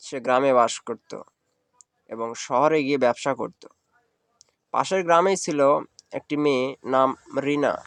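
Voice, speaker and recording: A man's voice: medium pitch, moderate pace, pauses often, fairly bright tone, smooth, no echo. A man reading scripted narration for a cartoon story. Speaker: narrator and voice actor.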